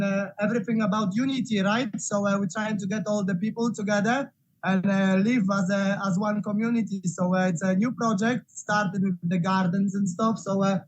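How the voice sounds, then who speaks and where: A man's voice: high voice, no echo, rough recording. A man from Poland who works at a community center, speaking hesitantly with many fillers on a video call. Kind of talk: casual remarks in an online meeting.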